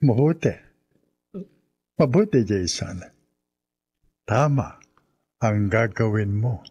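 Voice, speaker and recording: An actor playing a menacing, commanding killer husband in a Filipino radio horror drama, with a husky voice. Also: male voice, on the low side, expressive, slow, dark tone, dull audio, slight background noise. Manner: threatening, commanding. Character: menacing killer husband threatening his young brother-in-law.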